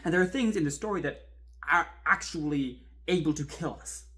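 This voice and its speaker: A man playing an anxious, bitter man terrified of death, speaking in halting, intense bursts in a confessional horror drama. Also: fairly high pitch, bright, forceful tone. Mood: desperate, defiant. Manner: halting, intense, breaking phrases apart.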